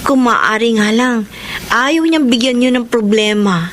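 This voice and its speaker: An actress playing a polite, devoted young office employee and daughter in a drama. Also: female voice, on the high side, unhurried, a little hiss or hum, close to an everyday voice. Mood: respectful. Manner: deferential, polite. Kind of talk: Filipino radio-style drama.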